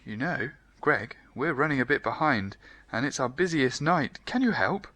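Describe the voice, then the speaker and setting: A man doing a storybook elf boss, chummy, upbeat, animated, bright. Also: medium pitch, normal speed, no room sound, voice pushed up. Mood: friendly. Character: friendly, busy male chief elf.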